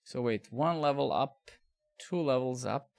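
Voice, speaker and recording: A man's voice: mid-range voice, unhurried, vocal fry, a little mellow. A middle-aged man from Serbia, rambling and swearing casually while coding live and chatting with viewers. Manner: rambling, profane, talks to chat while coding.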